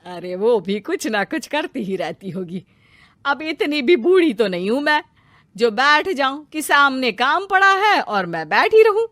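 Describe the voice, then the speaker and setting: A woman voicing a kindly, self-sacrificing middle-aged mother-in-law in a family cartoon, with a gentle, indulgent manner. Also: high-pitched, sing-song, a little slow, no echo, clean studio sound, voice pushed up, heavily acted. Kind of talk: Hindi family cartoon character voice.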